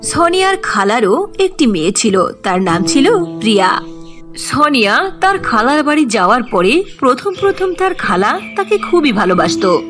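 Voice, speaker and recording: A woman's voice: a little high, big pitch swings, moderate pace. A woman narrating a scripted children's fairy tale as storyteller. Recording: clear music under the voice.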